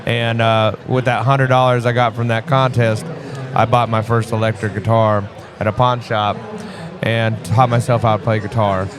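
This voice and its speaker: A man in his forties, born in Vietnam and raised in the US Midwest, chatting casually as an interview guest with a relaxed, rambling manner and many restarts. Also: medium pitch, moderate pace, over music. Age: 40s. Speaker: guest musician. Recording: crowd noise behind.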